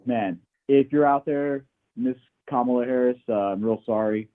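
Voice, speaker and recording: A man's voice: medium pitch, normal speed. A man with a Midwestern accent calling into a debate stream, hesitant and defensive, restarting his sentences often. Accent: Midwestern American. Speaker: caller. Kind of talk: call-in debate. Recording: tinny phone line.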